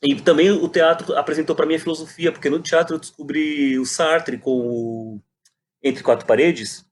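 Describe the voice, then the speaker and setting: Higher-voiced São man over background noise. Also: fairly even intonation, moderate pace. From Brazil. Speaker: guest, playwright and poet. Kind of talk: reflective conversational interview.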